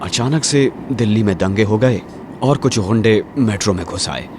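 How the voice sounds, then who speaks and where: Man playing a low-voiced, measured official. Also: noisy background, lightly acted. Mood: grave, explaining. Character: calm adult male official explaining to a child. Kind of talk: animated horror story acting.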